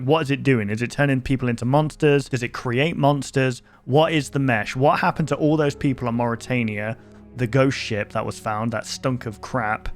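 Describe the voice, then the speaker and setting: Male host, casual reaction talk, thinks out loud with lots of restarts; average pitch, soft music bed. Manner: thinks aloud, rambling with many fillers and restarts.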